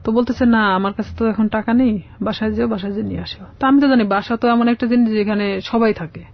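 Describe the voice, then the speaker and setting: Creaky-voiced woman recounting her life story. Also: fairly high pitch, fast talker. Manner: recounts dialogue by quoting both sides. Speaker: radio show guest telling her life story. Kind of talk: conversational personal storytelling. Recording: slightly dull lo-fi radio audio.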